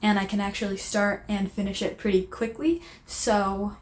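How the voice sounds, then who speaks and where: A woman's voice: mid-range voice, fairly even intonation, normal speed, a little hiss or hum. A young woman vlogging at home, chatty and rambling, her voice a little strained from a sore throat. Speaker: craft vlogger. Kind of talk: casual vlog narration.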